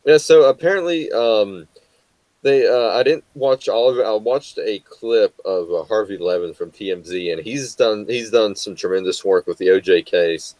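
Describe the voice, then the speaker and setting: A man's voice: fairly low pitch, moderate pace, a little mellow, no room sound, poor audio. A young American man co-hosting a casual talk show, rambling with lots of fillers and restarts. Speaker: co-host. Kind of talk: casual chat.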